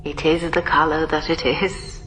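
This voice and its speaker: Low-voiced, slow, formal woman. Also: level tone, slight background noise, poor audio. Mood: grave. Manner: formal, measured, solemn, breathy hushed moments. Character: prim, formal woman keeper of a quarantined astronaut, ageing. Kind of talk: radio drama acting.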